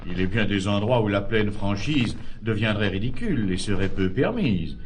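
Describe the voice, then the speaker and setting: An actor playing a tolerant, philosophical friend who urges moderation, speaking classical French verse in a measured, articulate way. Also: male voice, fairly low pitch, expressive, slight background noise, close to an everyday voice. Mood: reasoning.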